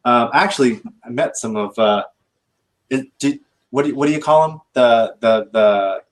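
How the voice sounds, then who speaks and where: American man, halting casual talk. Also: medium pitch. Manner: halting, many restarts, animated when storytelling. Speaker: recruiting sourcer and veteran. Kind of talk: casual panel chat.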